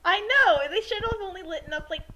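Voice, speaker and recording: A woman's voice: very high-pitched, lively intonation, brisk. A woman giving joking, casual commentary as a co-commentator. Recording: noisy track with clicks.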